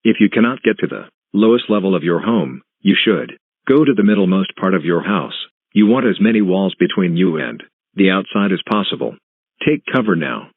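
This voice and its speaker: A man's voice: sits low, expressive, normal speed, no room sound. A man reading a scripted emergency broadcast announcement in a formal, stilted way. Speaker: announcer of news and emergency alerts. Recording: muffled phone-like lo-fi sound.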